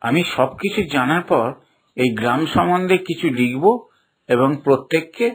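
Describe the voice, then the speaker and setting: A man's voice: mid-range voice, normal speed, close to an everyday voice. A man voicing a curious, earnest male visitor in a cartoon, speaking in an eager, inquisitive way. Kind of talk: Bengali cartoon dubbing.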